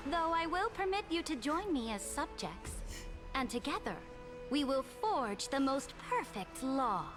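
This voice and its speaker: An actress playing an imperious, calculating female ruler in a video game, with a pompous, deliberate and theatrical delivery. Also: sits high, very animated. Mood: haughty, commanding. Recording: game music behind.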